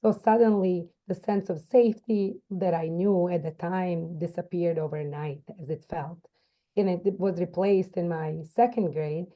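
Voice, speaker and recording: A woman in her forties, originally from Croatia, speaks English as a second language and tells her story in an earnest, halting way. Her voice sits low for a woman. The recording sounds slightly dull and lo-fi. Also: fairly even intonation. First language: Serbo-Croatian. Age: 40s. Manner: earnest, with frequent restarts. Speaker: podcast guest. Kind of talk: personal storytelling.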